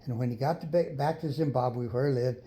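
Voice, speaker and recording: Mellow, folksy older man storyteller. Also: medium pitch. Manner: folksy, unhurried, pausing for children to fill in. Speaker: grandfather storyteller. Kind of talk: improvised children's storytelling.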